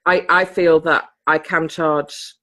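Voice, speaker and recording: A woman's voice: low voice, fairly even intonation, slow pace, fairly bright tone. Woman, chatty, laughing often, video call sound. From Britain. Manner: chatty, laughs often, loses her thread. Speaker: participant in a coaching group call. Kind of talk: casual group video call.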